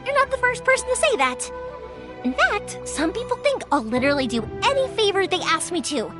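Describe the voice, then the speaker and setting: A cheerful young fireworks maker from a video game. Her voice is very high and lively, perky and eager to help, chatting expressively over clear background music. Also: brisk. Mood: bubbly, chatty. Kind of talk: scripted video game voice acting. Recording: music playing behind the voice.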